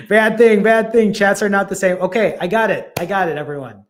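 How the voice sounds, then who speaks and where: A man hosts a live stream as a fasting coach. His voice sits a little high, and he chats casually and encourages his viewers directly as he answers their comments. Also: a little hiss or hum. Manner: talks straight to viewers, upbeat and encouraging.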